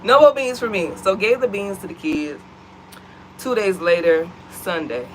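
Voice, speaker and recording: An American woman talks with her viewers from her couch at home, animated and chatty, warmly calling them y'all. Her voice sits in a middle pitch. A faint hiss runs under the recording. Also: measured pace, poor audio. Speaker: vlog co-host. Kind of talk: casual chat to viewers. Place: at home, seated.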